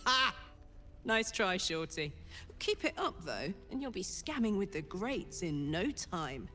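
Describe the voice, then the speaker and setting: Tough warm-hearted brawler, mid pitch, plain-spoken, encouraging, big reverb, game score behind. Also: female voice, sing-song, measured pace. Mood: fond, encouraging. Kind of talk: video game dialogue. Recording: long reverb, music behind.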